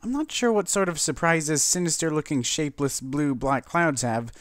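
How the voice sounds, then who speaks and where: A man's voice: fairly high pitch, moderate pace, fairly bright tone, dry recording, studio quality, pitched above the performer's own voice, natural voice. A man voicing a refined, fussy lady unicorn in a genteel, wordy way for a cartoon story. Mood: wary.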